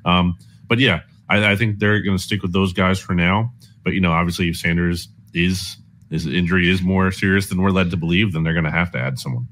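A man's voice: low-pitched, steady tone, brisk, a bit bright, a little hiss or hum. A man talking as a guest sports analyst, breaking down football in a casual, conversational style.

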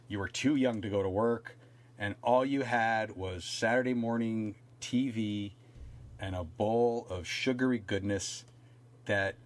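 Wry man co-hosting a comedy podcast. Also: fairly low pitch. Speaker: podcast co-host. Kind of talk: casual comedic podcast chat.

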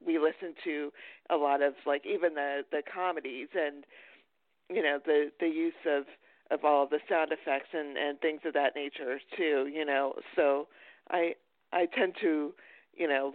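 A man chatting casually as a podcast interviewer, with a halting, rambling delivery. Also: fairly high pitch, no room sound. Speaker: podcast host. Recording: thin phone-like line.

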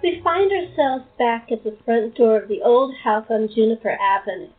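A woman's voice: on the high side, animated, normal speed, faint music behind. A woman narrator delivering scripted radio play narration in an arch storytelling manner. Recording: lo-fi, clearly noisy with clicks.

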